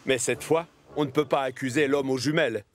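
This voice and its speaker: Casting: male storyteller for a kids' cartoon, medium pitch, expressive, with music clearly underneath. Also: a little fast. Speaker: narrator. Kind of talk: scripted children's cartoon storytelling narration.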